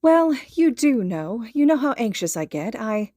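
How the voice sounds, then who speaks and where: A woman's voice: on the high side, a little slow, dry recording, studio quality, pitched above the performer's own voice. Woman acting a light, chatty, anxious mother. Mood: anxious, sheepish. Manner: animated, gossipy, light voice.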